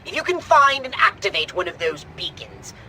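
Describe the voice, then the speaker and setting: A man playing a pompous, high-strung alien mastermind in a video game cutscene, theatrical and wordy. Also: very animated, moderate pace, bright, forceful tone, a little hiss or hum, full character voice, rough recording. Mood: smug, explanatory.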